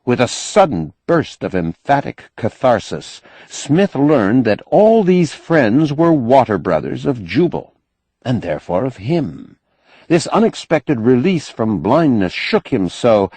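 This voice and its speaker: A man reading a novel aloud as an audiobook narrator, in an expressive, measured storytelling manner with careful phrasing. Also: mid-range voice, husky, no room sound. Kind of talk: scripted narration of a science fiction novel.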